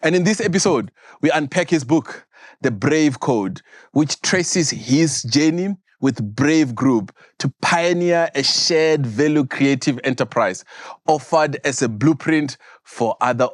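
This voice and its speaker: A man's voice: mid-range voice, animated, measured pace, no room sound, studio quality. A man hosting a podcast, reading a formal introduction and encouraging his guest with short interjections. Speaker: podcast host.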